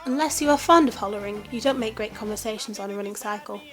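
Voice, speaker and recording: A woman reading reflective travel prose aloud in a measured way, with soft music behind. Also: medium pitch. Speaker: narrator.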